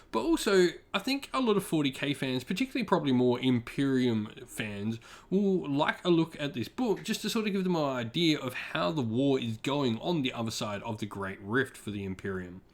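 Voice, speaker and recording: A man hosting an informal review, talking conversationally to viewers and softening his points with small asides. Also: mid-range voice. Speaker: channel host, book reviewer. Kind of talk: informal scripted-outline review commentary to viewers.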